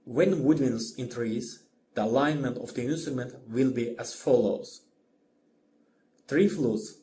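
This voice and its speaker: A man's voice: mid-range voice, warm tone, slight background noise. A man with an Azerbaijani accent narrates a scripted lecture as a teacher, methodically, in careful short phrases. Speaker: orchestration teacher.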